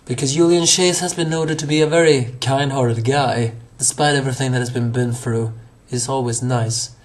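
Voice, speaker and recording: A hoarse young man reviewing an anime episode on his own, rambling with many fillers, restarts and self-corrections. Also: medium pitch, fairly even intonation, moderate pace.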